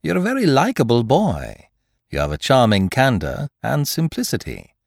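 A man reading aloud as a cocky, playful gentleman thief who teases and mocks in a theatrical way. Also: huge pitch swings, normal speed, vocal fry, no echo, clean studio sound, heavily acted. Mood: mocking. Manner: teasing, theatrical swings in pitch. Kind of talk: audiobook character reading.

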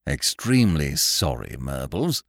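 A man's voice: rumbling low, very animated, measured pace, creaky, no room sound, studio quality, full character voice. A man voicing an effusive, jovial English barrister in an audiobook, urbane and talkative, with an upper-class English accent. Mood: apologetic. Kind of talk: audiobook character voice.

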